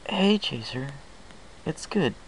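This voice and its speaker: A man acts out an awkward pony stallion, a young dad in a fan-fiction audiobook. The voice is pitched up and halting, uneasy as it goes. There is some noise on the home recording. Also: big pitch swings, heavily acted, low-quality recording. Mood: nervous, awkward. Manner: hesitant, halting. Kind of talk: amateur audiobook character voice.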